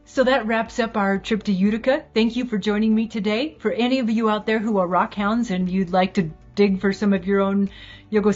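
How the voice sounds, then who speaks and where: A woman from the US doing a casual travel video report outdoors, chatty like a tour guide. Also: mid-range voice. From United States. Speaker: field reporter. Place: outdoors on a mountain road. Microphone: phone camera. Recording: dull lo-fi sound.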